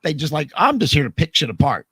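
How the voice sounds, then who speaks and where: A man hosting a live radio talk program, his voice worn hoarse, chatting casually and jokingly with many restarts. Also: fairly high pitch, sing-song, fast talker, pushed and bright, no room sound.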